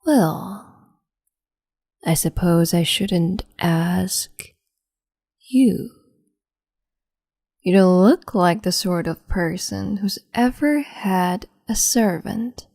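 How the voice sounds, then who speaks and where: A woman voicing a cruel, capricious empress in an ASMR roleplay, speaking slowly and mockingly and slipping into whispers. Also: fairly low pitch, expressive, darkish tone, no echo, studio quality. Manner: slow, mocking, condescending, drifts into whispers.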